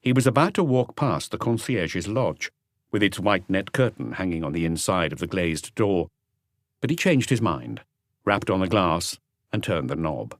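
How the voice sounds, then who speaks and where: A man's voice: deep voice, lively intonation, moderate pace, dry recording, studio quality. A man reading scripted literary narration for a mystery audiobook. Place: close, dry recording space.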